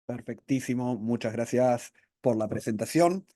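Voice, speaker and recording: Argentine guy on a work webinar, mellow voice, friendly and measured, talks right to the audience. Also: medium pitch, no echo, clean studio sound. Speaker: trainer co-presenting a webinar. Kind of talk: live webinar presentation.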